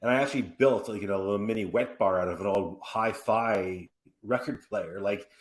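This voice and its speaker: A podcast host in his forties with a fairly low voice talks in a loose, rambling way, circling back and restarting his sentences as he tells his stories. The room around him rings with a noticeable echo. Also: a little fast. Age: 40s. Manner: rambling, animated storytelling with restarts and asides. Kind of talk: casual conversational interview. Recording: roomy echoey room.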